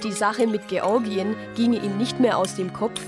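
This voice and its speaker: An actress playing a wry, practical deaconess in a radio drama, dry and matter-of-fact. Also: female voice, medium pitch, steady tone, unhurried, over music, lightly acted. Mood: dry narration. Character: wry, practical deaconess narrating her own adventures. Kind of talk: radio drama narration and dialogue.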